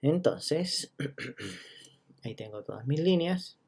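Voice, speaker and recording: A man teaching a geometry lesson, counting steps out loud and checking in with listeners as he goes. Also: mid-range voice, lively intonation, slow, husky. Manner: walks through each step, checking in with listeners; counts items out loud one by one. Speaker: math teacher.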